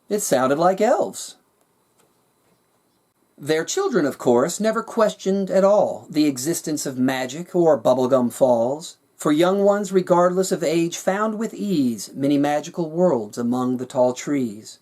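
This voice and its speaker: A man narrating his own rhyming fantasy story with a measured, dramatic storyteller's delivery. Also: fairly high pitch, darkish tone. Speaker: author reading their own work.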